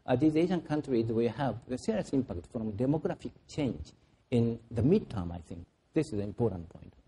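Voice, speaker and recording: A man on a panel discussion giving a measured explanation with some hesitations. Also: mid-range voice, lively intonation, warm tone, slight background noise.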